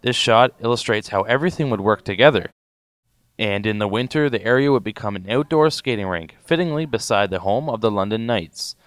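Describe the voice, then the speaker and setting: A man's voice: lowish voice, expressive, a little fast, smooth, no echo. A man reading scripted narration as the reporter of a short documentary.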